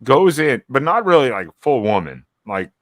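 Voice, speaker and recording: A man's voice: mid-range voice, big pitch swings, moderate pace, dry recording, clean studio sound. A man co-hosting a casual evening livestream hangout, rambling and often restarting his sentences. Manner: rambling, repeats words and restarts. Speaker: co-host.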